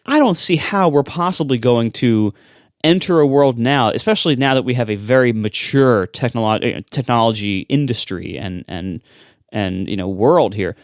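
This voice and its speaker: A man's voice: mid-range voice, normal speed, dry recording, studio quality. A man co-hosting a tech podcast, talking in an emphatic, skeptical rant. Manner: animated, emphatic, skeptical ranting. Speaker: podcast co-host. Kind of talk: casual tech chat.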